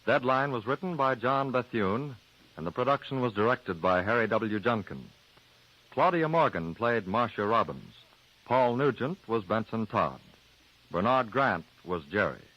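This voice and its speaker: A man's voice: medium pitch, lively intonation, unhurried. A man with an American accent reading scripted credits in the formal style of a 1940s radio announcer. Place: radio studio. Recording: dull vintage 1940s radio broadcast.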